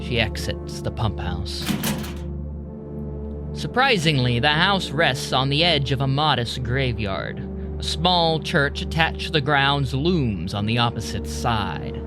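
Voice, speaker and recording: A male storyteller narrates an audio drama at a slow, deliberate pace. His pitch sits in the middle, and music plays clearly underneath. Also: animated. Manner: measured, deliberate.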